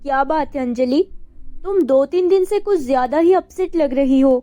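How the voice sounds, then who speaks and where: A woman playing a caring, reassuring mother in a dramatized love story, talking in a coaxing, expressive way. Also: high-pitched, moderate pace, no echo, soft background music. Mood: concerned.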